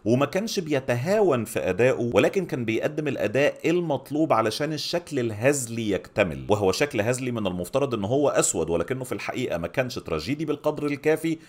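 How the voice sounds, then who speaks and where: A middle-aged man with an Egyptian accent giving an opinionated film review to camera, speaking close to a microphone in a small home studio. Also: mid-range voice. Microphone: microphone on a boom arm, close up.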